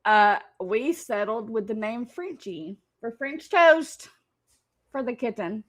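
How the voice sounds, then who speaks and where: A woman's voice: fairly high pitch, lively intonation, takes it slowly. A woman hosting a reaction show, mocking the clip in casual, teasing commentary and laughing often.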